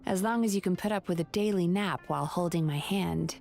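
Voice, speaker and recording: A woman playing a composed, gentle therapist in a video game, politely professional and lightly playful, with a soothing delivery. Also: fairly low pitch, a little fast, creaky. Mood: reassuring. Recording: game music behind the voice.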